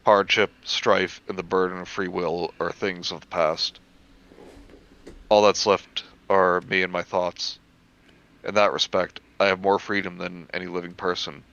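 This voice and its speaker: A man reading a first-person horror story aloud in measured narration, stumbling over the occasional word. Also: low-pitched, little pitch movement, vocal fry, a bit bright, lo-fi sound.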